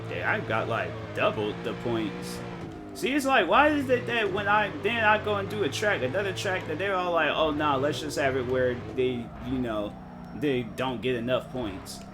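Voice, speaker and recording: Young man, casual stream chatter, mid-pitched, lots of restarts, game music behind. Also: animated. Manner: rambling, halting, lots of fillers and restarts. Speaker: gaming streamer. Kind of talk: casual live-stream chat while racing. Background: game music and car engine sounds.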